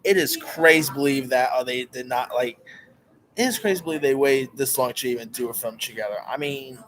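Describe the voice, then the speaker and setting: A man's voice: mid-range voice, a little fast, a bit bright, slight background noise, low-quality recording. A man hosting his own channel, reviewing a movie in a casual, rambling way, with lots of fillers and restarts and plenty of enthusiasm.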